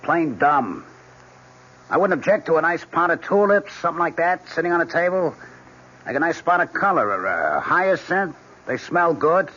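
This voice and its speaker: An actor playing a gruff, dismissive husband, blunt and impatient, in a 1970s radio drama. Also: male voice, fairly high pitch, expressive, normal speed, sharp tone. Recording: dull 1970s radio broadcast with faint hiss.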